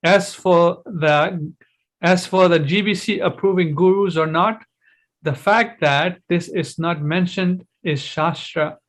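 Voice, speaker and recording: A man's voice: high-pitched, slow pace, a bit bright. A man hosting a live online discussion, reading a post aloud steadily and giving short replies. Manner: brief agreeing replies, then reads text aloud steadily. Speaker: host. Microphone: livestream.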